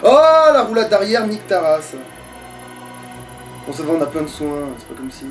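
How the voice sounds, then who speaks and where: High-voiced man chatting while gaming. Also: sing-song, normal speed, faint music behind. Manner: informal, swearing, trailing off mid-thought. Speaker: let's play player. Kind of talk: casual gaming chat.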